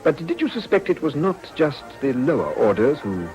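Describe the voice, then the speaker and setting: An actor playing a suave upper-class English political gentleman, speaking formally and with insinuation in a period drama. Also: a little high, sing-song, moderate pace, soft background music. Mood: grave, confiding. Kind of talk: British period drama acting.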